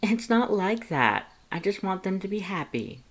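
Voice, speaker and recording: A narrator plays a teasing, affectionate monster man in an audio story. He talks in a coaxing, playful way, pitched a bit above plain narration. He is encouraging and full of pet names. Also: big pitch swings, normal speed. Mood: earnest, flustered.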